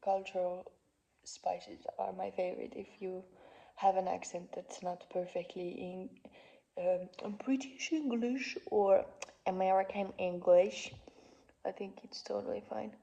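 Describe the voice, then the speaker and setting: A woman in her twenties with a Finnish accent, talking in a soft, halting, unscripted vlog monologue from a couch at home. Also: medium pitch, steady tone, gravelly, dull audio. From Finland. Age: 20s. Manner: soft-spoken, halting, frequent fillers. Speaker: vlogger. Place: parents' living room couch.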